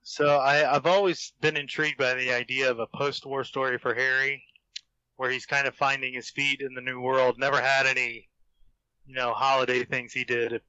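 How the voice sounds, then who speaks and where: Man, bright voice, casual podcast guest, dry close sound, slightly muffled call audio. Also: mid-range voice, level tone, normal speed, clean tone, dry recording. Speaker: podcast guest, fan fiction author. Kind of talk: casual group podcast chat.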